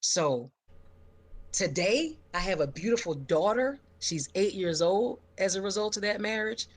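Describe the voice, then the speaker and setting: A middle-aged American woman from Philadelphia telling her own story on a live show in an emphatic, expressive, high-energy way. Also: unhurried. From Philadelphia, United States.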